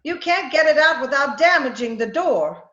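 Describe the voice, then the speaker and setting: Woman as high, lilting radio-comedy housewife. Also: expressive, moderate pace, sharp tone. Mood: wry. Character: patient, wry, affectionate housewife of radio comedy. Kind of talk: old-time radio comedy acting. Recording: dull, roomy video-call recording.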